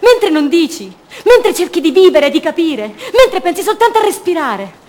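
A woman's voice: very high-pitched, very animated, normal speed, a little mellow. An actress playing a fragile, haunted captive woman in a radio drama, speaking in short fragments and childlike repetitions. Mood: anguished, reflective. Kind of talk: theatrical monologue, radio drama.

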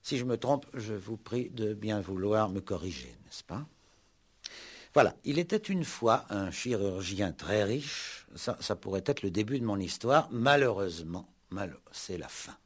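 An actor playing a shrewd, ironic police commissioner in a 1960s French radio play, speaking with deliberate, mock-courteous drama. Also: male voice, fairly low pitch, lively intonation, husky. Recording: old 1960s radio recording.

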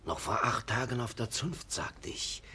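A man's voice: mid-range voice, a little slow, sharp tone, a little hiss or hum. An actor playing an indignant, worried old-time townsman, grumbling emphatically in a classic radio play. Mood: indignant, alarmed.

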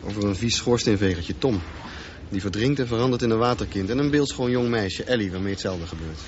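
A man's voice: on the low side, brisk, a little mellow, close to an everyday voice. Intense, brooding young male swimmer for radio play, acted by a man; earnest delivery, muffled and noisy sound. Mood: bitter, brooding. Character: intense, brooding teenage boy swimmer with deformed leg. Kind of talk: radio drama acting.

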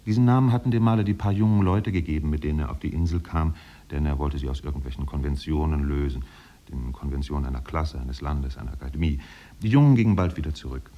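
An actor playing a composed, wry storyteller narrating a 1950s literary radio drama. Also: male voice, extremely low pitch, quick pace, warm tone. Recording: dull old radio recording.

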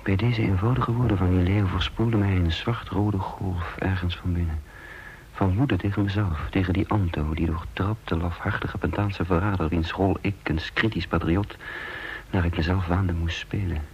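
Diepe, getergde mannelijke hoorspelverteller. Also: male voice, sits low, steady tone, moderate pace. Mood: bitter, agitated. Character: brooding undercover patriot man, self-reproaching, tense and guarded. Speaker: narrator and lead character of a radio serial. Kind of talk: Dutch radio drama, first-person narration and dialogue. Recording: dull, noisy old radio recording.

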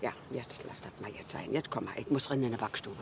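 An actress playing a fond, chatty elderly widow who rambles through memories in a naturalistic radio drama. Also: female voice, extremely low pitch, fast talker, creaky, a little hiss or hum. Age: older. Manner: rambling, reminiscing, with colloquial tag questions. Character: chatty, fond old Berlin widow, former flower seller.

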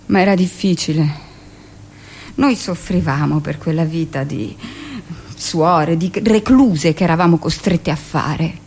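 A woman's voice: sits low, a little mellow, a little hiss or hum. A woman playing a brusque, gossipy middle-aged hospital midwife who tells her memories in a theatrical, conversational radio monologue. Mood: wistful, confiding. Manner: conversational, dramatic pauses, shifts into mimicked speech. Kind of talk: theatrical first-person monologue, confiding storytelling.

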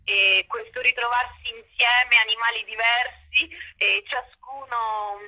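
A woman talking as a guest in a casual interview, pausing and hesitating as she builds her sentences. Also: a little high, fairly even intonation, sharp tone, highs cut off. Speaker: theatre artist, interview guest.

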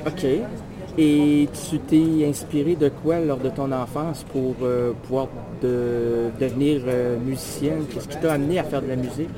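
A man's voice: mid-range voice, little pitch movement, measured pace, a crowd in the background. A man interviewing a guest in casual radio style, chatty and teasing, asking leading questions.

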